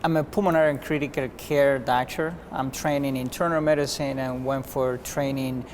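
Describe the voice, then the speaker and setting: A man from Cuba, a physician guest, answering interview questions in an earnest, measured way with frequent restarts. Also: mid-range voice, level tone, slight background noise.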